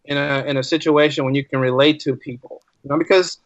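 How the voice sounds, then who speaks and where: A man's voice: a little high, fairly even intonation, fast talker, dry recording, lo-fi sound. An American man talking as a teacher being interviewed, making his points emphatically with repeated phrases and rhetorical questions. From United States. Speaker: podcast guest, teacher. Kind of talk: conversational interview.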